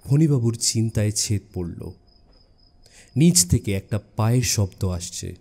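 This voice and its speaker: A man narrating a suspense story in a measured, dramatic way with deliberate pauses. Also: on the low side, lively intonation, creaky, warm tone. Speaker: audiobook narrator. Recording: music bed behind the voice. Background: background music.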